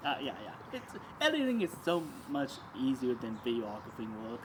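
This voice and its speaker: A man's voice: mid-range voice, wildly swooping pitch, brisk, noisy background. A young man interviewing someone in a casual, conversational way.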